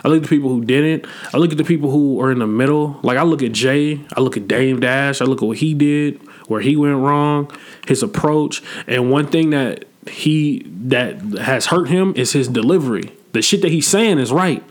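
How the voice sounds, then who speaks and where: A man's voice: mid-range voice, normal speed. A man in his twenties hosting a podcast, chatting in a blunt, slangy and reflective way. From Midwest United States. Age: 20s. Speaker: podcast host. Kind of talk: casual podcast chat.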